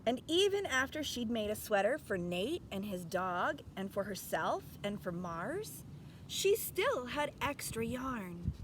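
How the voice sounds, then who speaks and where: A woman reads a children's story aloud in a high, expressive voice with clear background noise. She takes her time and turns to young listeners with teacherly questions. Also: measured pace. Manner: expressive, instructive.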